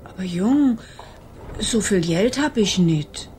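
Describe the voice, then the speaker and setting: A woman's voice: mid-range voice, animated, takes it slowly, a little mellow, a little hiss or hum. An actress playing a blunt, motherly older woman with a Rhineland German accent in a radio play, folksy and down-to-earth. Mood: reluctant.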